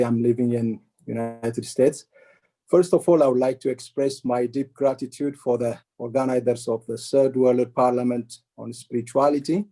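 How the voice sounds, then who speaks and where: Formal man from Ethiopia, mellow voice. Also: mid-range voice. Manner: formal, deliberate delivery. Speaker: life coach, presenter. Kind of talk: prepared speech.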